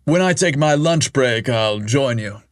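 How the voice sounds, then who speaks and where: A man voicing Chad, an eager, flirty lawyer boss who talks in a quick, breezy way. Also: mid-range voice, animated, dry recording, studio quality, natural voice. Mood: upbeat.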